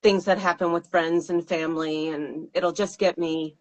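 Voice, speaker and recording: A woman with a fairly low voice, a singer-songwriter answering interview questions in a thoughtful, hesitant way with fillers and laughs. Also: steady tone, normal speed, a little mellow.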